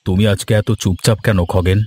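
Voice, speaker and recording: A man playing an easygoing, shrewd village landowner in a cartoon, measured and advising. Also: low voice, steady tone, darkish tone, breathy edge. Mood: calm, advising. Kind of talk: scripted cartoon dialogue.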